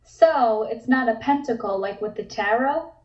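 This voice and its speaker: A woman playing a curious high-voiced young woman painter. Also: normal speed, darkish tone, roomy sound, highs cut off, lightly acted. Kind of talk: comic script table read.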